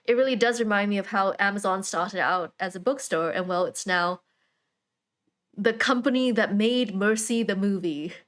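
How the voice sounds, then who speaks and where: A woman's voice: mid-range voice, normal speed. A woman hosting a video essay, talking in an informal, conversational and wry way. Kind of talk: casual video essay commentary.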